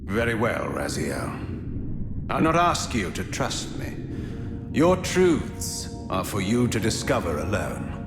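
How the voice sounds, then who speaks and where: A grave, ancient guardian of destiny in a dramatic video game. He speaks in grand, measured phrases with widely swinging pitch and a solemn sense of command. The voice rings in a long cavernous echo, with loud music behind it. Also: wildly swooping pitch, exaggerated voice. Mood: solemn, resigned.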